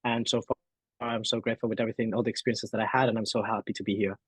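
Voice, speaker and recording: A man's voice: fairly low pitch, little pitch movement, racing, a bit bright, slightly rough, no echo, low-quality recording. Teen guy from peru on a video call, earnest and grateful, very dry sound. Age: teens. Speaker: program winner, guest. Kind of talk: self-introduction on a call.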